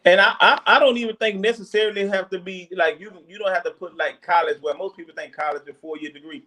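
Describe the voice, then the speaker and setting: A man holding forth on a casual podcast, earnest and a little preachy as he hands out advice to parents. His voice sits fairly high for a man. He circles back on himself with "you know what I'm saying.". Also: fairly even intonation, fast talker, bright, forceful tone. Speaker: podcast co-host.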